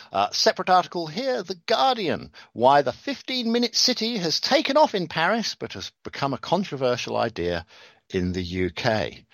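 A man comments on the news with a dry, sardonic edge, tripping over words and circling back as he reads. His pitch rises and falls a lot as he talks. The sound is dry and close, with no room echo. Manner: sarcastic, rambling, stumbles over words and restarts. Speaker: podcast host reading news. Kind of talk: casual commentary on news articles.

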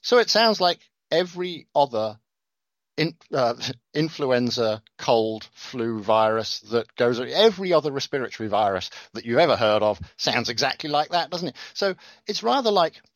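A man's voice: mid-range voice, very animated, normal speed, dry recording. A man hosting a casual talk show, reading news articles aloud and adding sarcastic comments, often stumbling and restarting his words. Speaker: podcast host reading news.

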